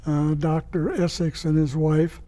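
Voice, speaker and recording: A man answering interview questions as a guest, explaining things in a measured, informative way. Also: fairly high pitch, flat delivery, dark tone. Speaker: guest, writer and director of radio plays.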